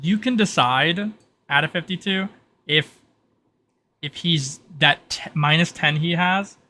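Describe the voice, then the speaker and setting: A man running a tabletop roleplay game as game master, halting and repeating himself as he explains. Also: fairly high pitch, bright, forceful tone. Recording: clear background noise.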